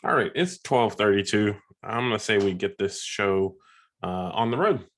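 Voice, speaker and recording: A man with a stuffy sore-throat voice, presenting a webinar in a halting way, with many ums and uhs. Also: fairly low pitch, lively intonation, fairly bright tone. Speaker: software ambassador presenting a webinar. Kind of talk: casual presentation.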